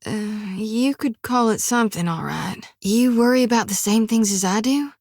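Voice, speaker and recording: An actress voicing a devout young female reaper priestess haunted by visions, with a drawl, often hushed and whispery, recorded dry in a studio. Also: on the high side, normal speed, no room sound. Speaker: game character. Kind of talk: game voice acting.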